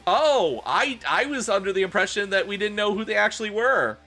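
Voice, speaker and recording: A man's voice: sits high, animated, brisk, sharp tone. A man livestreamer doing a theatrical, joking dramatic reading with casual, profane asides to his chat, over music. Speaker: livestreamer and host.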